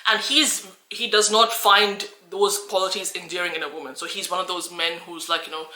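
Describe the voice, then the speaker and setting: A woman's voice: on the low side, steady tone, brisk, pushed and bright, echoey room, low-quality recording. A woman who teaches English, telling a personal story to camera in an earnest, emphatic way. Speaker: English teacher and channel host.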